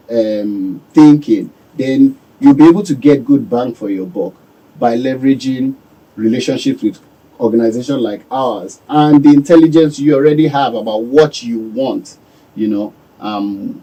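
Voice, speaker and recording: A Nigerian man giving calm, measured answers as a guest in a radio studio. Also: medium pitch, husky, distant mic, rough recording. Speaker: business owner guest.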